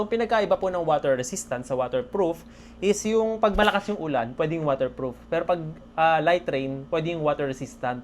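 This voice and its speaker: Filipino guy doing a casual vlog, excited and thankful, bit of background noise. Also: on the high side. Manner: excitable, appreciative. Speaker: motorcycle vlogger. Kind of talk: casual vlog talk to camera. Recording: noisy background ambience.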